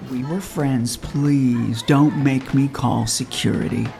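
An actress playing a cold, unapologetic business rival in an audio drama, curt and condescending. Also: female voice, extremely low pitch, steady tone, slow, creaky, dark tone, full character voice. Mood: cold, dismissive.

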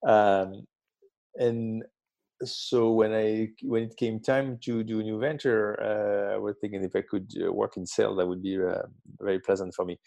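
A man's voice: lowish voice, little pitch movement, moderate pace, gravelly, dark tone, dry recording. A man in his fifties from the south of France with a French accent, speaking as a guest in an interview, hesitant with many ums and restarts. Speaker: guest, tech company founder. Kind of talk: interview conversation.

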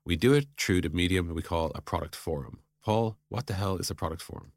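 Male podcast host, very low voice, quick conversational delivery, dry close sound. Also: no echo.

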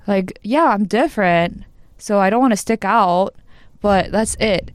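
A woman's voice: medium pitch, measured pace, no room sound. A young woman who was born in Korea and speaks Korean as her first language, chatting as a podcast guest. She tells stories with lots of laughter and acts out the voices she quotes. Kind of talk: casual chat.

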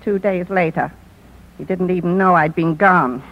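An actress playing a shrewd older newspaper publisher with dry, theatrical wit in 1950s radio drama style. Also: female voice, on the low side, normal speed, very noisy, lightly acted. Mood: wry, affectionate. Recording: dull vintage 1950s radio broadcast.

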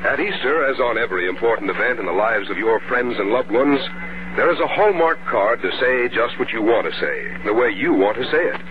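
A man's voice: medium pitch, lively intonation, a little fast. A man doing scripted announcing and advertising in the style of 1950s radio. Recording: dull vintage radio recording with music. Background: music.

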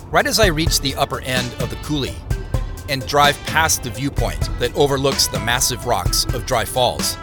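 A man narrating a scripted audio drama in the first person as a reflective investigator, with measured, descriptive storytelling. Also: mid-range voice, lightly acted. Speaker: narrator and lead character.

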